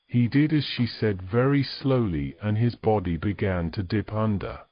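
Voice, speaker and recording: A man's voice: fairly low pitch, normal speed, dull audio. A man reading scripted narration as the storyteller of a fantasy audio drama.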